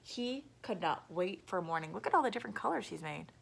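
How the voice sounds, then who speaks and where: A young adult woman reading a picture book aloud to children, animated and explanatory, with asides. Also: lowish voice, a little fast, gravelly, slight background noise. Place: small room at home.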